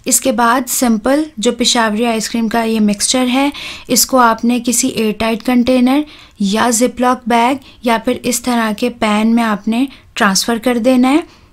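A woman, hosting a home cooking channel and talking calmly through a recipe step by step, with soft "uh" fillers. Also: a little high, measured pace. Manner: calm, instructive, with soft "uh" fillers.

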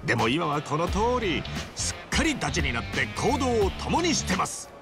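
A man's voice: high voice, wildly swooping pitch, normal speed, over music, heavily acted. An actor playing a boisterous, big-hearted ex-yakuza man in a video game, theatrical and earnest. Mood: showy, grandiose. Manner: theatrical, earnest, rough-and-ready. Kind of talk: Japanese video game voice acting.

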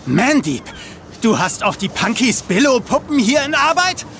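An excitable, indignant teen musician in a radio play. The voice is very high and piercingly bright, with some background noise. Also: male voice, moderate pace, full character voice. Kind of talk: German children's audio drama acting.

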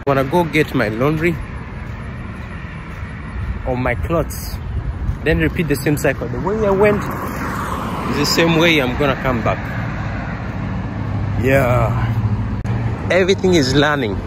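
A man's voice: a little high, expressive, measured pace, long pauses. Man from kenya, casual walking vlog, playful, traffic noise all around. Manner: playful, acts out comic scenes. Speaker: vlogger. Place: city street outdoors, then a laundromat. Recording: street traffic noise behind. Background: passing cars.

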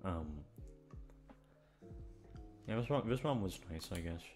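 A man's voice: low-pitched, expressive, slow, darkish tone, faint music behind. A man chatting casually to his listeners in a rambling, awkward, self-deprecating way, swearing freely. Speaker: audio roleplay voice actor, channel host. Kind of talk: casual rambling outro chat.

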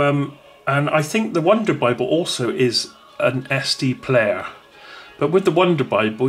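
A man rambling casually as he reviews a small gadget at home, with frequent restarts. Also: fairly high pitch. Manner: rambling, chatty, many restarts. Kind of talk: casual unboxing talk.

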